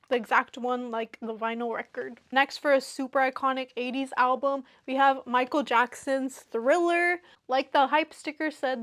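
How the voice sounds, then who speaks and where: A woman's voice: high voice, fairly bright tone. A young woman vlogger chatting casually to camera in an upbeat, giggly way. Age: young adult. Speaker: vlogger showing a record collection. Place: small furnished living room, indoors. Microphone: small clip-on lavalier mic at chest.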